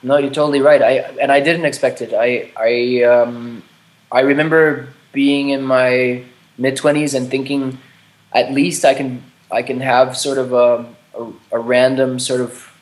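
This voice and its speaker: A man in his late thirties speaks in a mid-pitched voice, halting and reflective, often circling back and restarting his sentences. He swears casually as he talks. The recording carries a noticeable room echo. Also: flat delivery. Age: 30s. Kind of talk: candid conversation. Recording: roomy echo.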